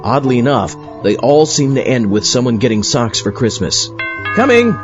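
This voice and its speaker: A man's voice: mid-range voice, very animated, normal speed, close to an everyday voice. A man playing a genial zombie astronaut who hosts a monster party in a comedy horror audio drama. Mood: dry, friendly. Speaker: host of the show. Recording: dull lo-fi audio, loud music.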